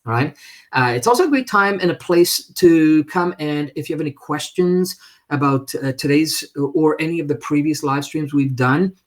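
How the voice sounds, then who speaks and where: A man hosting a live stream in casual, unscripted talk, speaking haltingly in a friendly way as he makes announcements. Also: on the high side.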